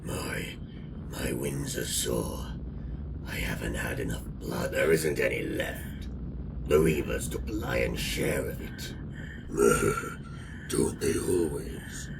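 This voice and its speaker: An actor playing a weary, grim winged vampire soldier with a gravelly voice in an audio drama. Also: male voice, rumbling low, distant mic, background noise, full character voice.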